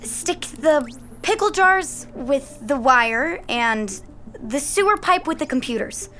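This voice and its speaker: A woman's voice: high-pitched, lively intonation, slow, pushed and bright, slight background noise, heavily acted. A woman playing a keen, determined kid detective who leads a group of friends in an audio drama. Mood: excited, focused.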